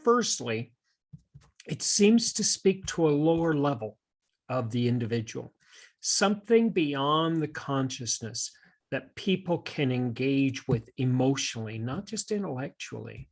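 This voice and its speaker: A man with a husky edge to his mid-pitched voice talks as a podcast co-host. He explains things thoughtfully, feeling his way through phrases as a teacher would. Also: sing-song, unhurried. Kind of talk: conversational podcast discussion.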